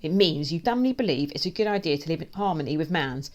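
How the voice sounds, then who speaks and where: A woman's voice: low voice, moderate pace, close to an everyday voice. Woman doing a big bully sewer rat from a kids story, greedy, sneery, angry and mocking. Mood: sneering, threatening.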